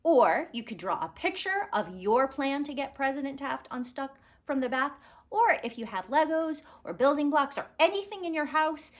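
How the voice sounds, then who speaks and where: A woman teacher giving an expressive children's read-aloud and explaining things to young listeners. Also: high-pitched. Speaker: teacher reading a picture book aloud.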